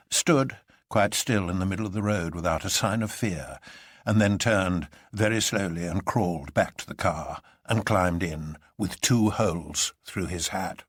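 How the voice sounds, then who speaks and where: A man's voice: low-pitched, measured pace, slightly rough, dry recording, studio quality. A man narrating an adventure story in a scripted, dramatic reading style.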